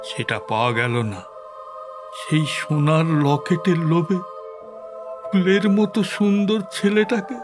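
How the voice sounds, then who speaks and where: Grieving father, higher-set male voice, very slow and solemn, loud music underneath, audio drama. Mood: anguished. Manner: slow, solemn, mournful delivery with pauses. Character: grieving, heartbroken father reading out his sorrowful letter. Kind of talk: Bengali audio drama acting.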